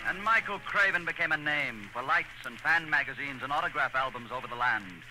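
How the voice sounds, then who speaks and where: A man's voice: medium pitch, lively intonation, a little fast, bright, forceful tone, faint music behind. A man giving earnest, theatrical scripted narration in a 1940s radio style. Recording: noisy old radio broadcast.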